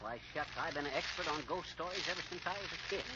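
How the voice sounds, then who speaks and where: A man's voice: fairly high pitch, fast talker, natural voice. A man playing a boastful, prank-loving joker in an old radio comedy, with folksy, wordy patter. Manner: folksy bragging, tongue-twisting alliterative patter. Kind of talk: 1940s radio comedy acting. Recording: muffled, very noisy old radio recording.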